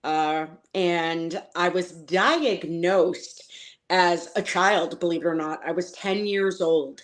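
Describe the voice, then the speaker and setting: Woman, 40s, faint Boston accent, somewhat low pitch, interview guest, animated storytelling. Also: slow pace. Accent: Boston, mostly hidden. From Boston, Massachusetts. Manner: animated storyteller with fillers and acted quotes.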